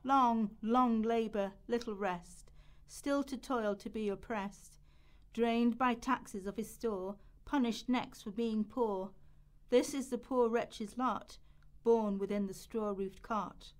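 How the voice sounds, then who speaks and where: A woman playing a solemn reciter who speaks for the poor, slow and emphatic, in a radio play. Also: on the high side, steady tone. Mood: mournful, emphatic. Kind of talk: dramatic verse and quotation recital, radio play.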